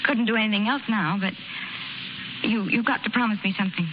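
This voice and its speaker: An actress in a 1930s radio drama playing a plucky young circus woman who speaks plainly and haltingly, with earnest feeling. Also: medium pitch, natural voice. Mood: hurt, resigned. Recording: crackly, muffled 1930s radio broadcast recording.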